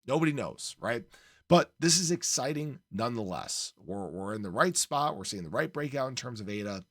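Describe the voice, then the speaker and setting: A man hosting a crypto channel, giving upbeat, emphatic market commentary with a slightly hoarse voice. He keeps circling back with "again" and "right?". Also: mid-range voice, lively intonation, normal speed, no room sound, studio quality. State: slightly hoarse, losing his voice. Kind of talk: casual market commentary to camera.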